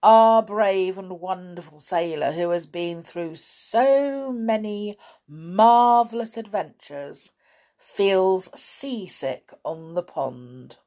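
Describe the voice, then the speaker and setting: A woman's voice: mid-range voice, expressive, takes it slowly, dark tone. A woman voicing a brave, fat old male teddy bear in a children's story, speaking bluntly and emphatically. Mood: mocking.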